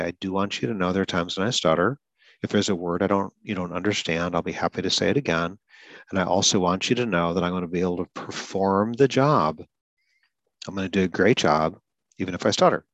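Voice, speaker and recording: A man who hosts a podcast for people who stutter, calmly talking through a goal-setting exercise with some small repetitions. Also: low voice, no echo, poor audio. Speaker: podcast host and stuttering advocate. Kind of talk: solo podcast explanation.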